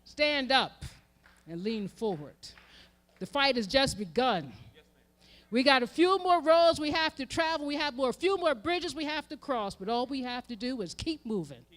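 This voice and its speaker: A woman with an American accent delivers an impassioned sermon, using emphatic repetition and swelling from hushed to forceful. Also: sits high, expressive, moderate pace. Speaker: reverend speaking at a memorial event. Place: live event before an audience.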